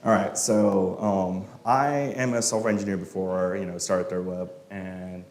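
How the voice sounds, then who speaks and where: Relaxed low-voiced Malaysian man, echoey room. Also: unhurried. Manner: relaxed, many fillers, trails off quietly. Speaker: guest speaker, software engineer. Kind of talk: casual talk and Q&A answers. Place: meetup event room.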